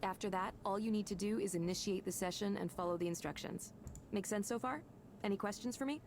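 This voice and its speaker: An actress playing a chatty, upbeat supervisor in a visual novel game, talking in brisk, reassuring corporate orientation patter. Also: female voice, medium pitch, a little mellow, slight background noise, natural voice. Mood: instructive.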